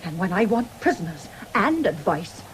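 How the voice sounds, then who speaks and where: Actress as a blunt, stubborn farm matriarch. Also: female voice, medium pitch, big pitch swings, moderate pace, close to an everyday voice. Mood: indignant, defiant. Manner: blunt, forthright. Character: stern, stubborn older farmer's widow, blunt matriarch. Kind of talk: 1970s radio drama acting. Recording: noisy old radio drama recording.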